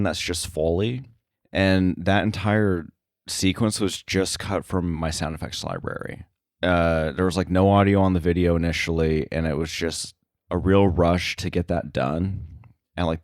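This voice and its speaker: A man with a very low voice speaks casually as a guest in an interview. He explains things thoughtfully, with some hesitation. The sound is dry, with hardly any room in it. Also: fairly even intonation, a little slow, dry recording. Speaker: podcast guest, sound effects editor.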